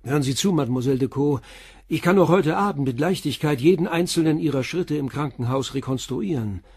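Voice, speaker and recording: A man's voice: fairly high pitch, brisk, a little mellow, husky, no room sound, clean studio sound. An actor playing a stern, probing police inspector in a radio drama, pressing his questions bluntly. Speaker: police commissioner.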